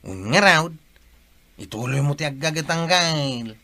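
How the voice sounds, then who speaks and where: A man's voice: a little high, lively intonation, unhurried, pushed and bright, heavily acted. A man playing a supportive, respectful village sidekick, earnest in delivery, in a comic radio drama. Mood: encouraging.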